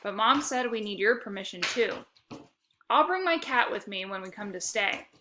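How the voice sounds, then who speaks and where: A woman's voice: mid-range voice, expressive, moderate pace, background noise, close to an everyday voice. Woman voicing a bossy, whiny young girl. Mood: insistent. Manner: insistent, squawking, whiny. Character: bossy, whiny, competitive young girl, a cat-loving sister.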